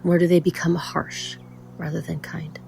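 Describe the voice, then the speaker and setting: A meditation guide speaking in a soothing, measured way with frequent pauses and a soft, at times breathy voice. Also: mid-range voice, level tone, soft background music.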